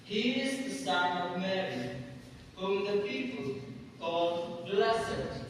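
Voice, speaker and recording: A man acting a solemn, gentle heavenly spirit in an amateur stage play, proclaiming slowly in an echoing hall. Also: high-pitched, expressive. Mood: solemn, soothing. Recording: very echoey hall, distant mic, noisy.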